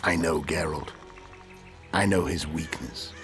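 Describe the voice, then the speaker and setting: Menacing kingslayer villain, extremely low, unhurried, coolly confident, game drama. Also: male voice, a bit bright, soft background music, exaggerated voice. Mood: calm menace. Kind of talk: dramatic video game acting.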